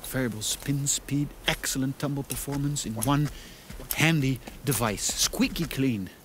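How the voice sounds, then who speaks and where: An actor playing an eccentric salesman in an animated film, with persuasive, theatrical patter. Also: medium pitch, measured pace, close to an everyday voice. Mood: smooth, persuasive. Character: eccentric, smooth-talking male salesman of new lives. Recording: faint outdoor ambient noise behind.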